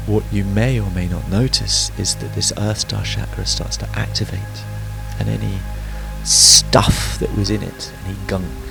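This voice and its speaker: Man, very low gravelly voice, meditation guide, soothing, unhurried phrasing with pauses, music behind. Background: background music.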